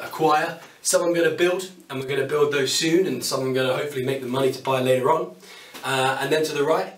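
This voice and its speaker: A man's voice: medium pitch, distant mic, slight background noise. Chatty British man in an echoey workshop. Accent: British English. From United Kingdom. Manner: chatty, upbeat, self-deprecating asides. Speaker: woodworking YouTuber. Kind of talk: casual tool walkthrough to camera. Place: small home workshop, indoors. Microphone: camera mic at a distance. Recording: roomy workshop sound, voice off-mic.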